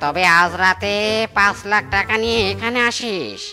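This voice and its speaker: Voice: mid-range voice, expressive, bright, forceful tone, soft background music. A performer voicing a sly monkey who poses as a mystic holy man in a comedy cartoon, chanting and proclaiming theatrically. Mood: solemn, coaxing. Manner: theatrical incantation, drawn-out proclamations. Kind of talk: Bangla comedy cartoon dubbing.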